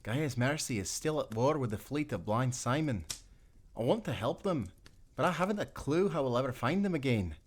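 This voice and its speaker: A man playing a wounded, loyal sailor castaway with a Scottish accent in a pirate audio roleplay, earnest and halting. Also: medium pitch, animated, a little hiss or hum, close to an everyday voice. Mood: weary, thoughtful.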